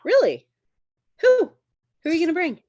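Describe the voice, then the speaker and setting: A woman's voice: very high-pitched, big pitch swings, normal speed, mellow, dry recording, clean studio sound, pitched above the performer's own voice. A woman playing a smug, evasive boyfriend in a comedy table read, patronizing and falsely considerate. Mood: challenging.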